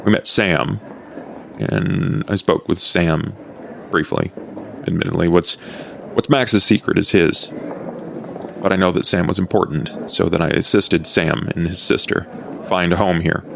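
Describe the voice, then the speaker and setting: A low, gravelly male vampire played by a man at a gaming table, old and courteous. He talks in measured, formal phrases with understatement, over faint background noise. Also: a bit bright, exaggerated voice. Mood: measured, explaining.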